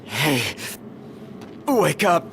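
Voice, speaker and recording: A man's voice: fairly high pitch, big pitch swings, drawn-out words, noisy background, heavily acted. A man playing a weary, earnest young hero in a video game, speaking tersely and broodingly. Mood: tense, groggy. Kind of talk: video game cutscene acting.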